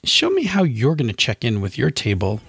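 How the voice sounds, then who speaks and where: Man with a lively, swooping delivery. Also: mid-range voice, moderate pace, gravelly, over loud music. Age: 40s. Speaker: podcast co-host. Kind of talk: casual chat.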